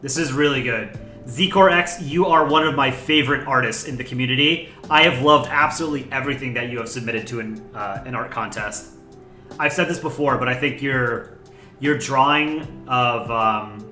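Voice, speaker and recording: A young man hosts a laid-back livestream, his voice bright and forward. He rambles casually and thanks viewers by name. The room is echoey, with faint music underneath. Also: medium pitch, normal speed. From United States. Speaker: livestream host. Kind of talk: casual chat.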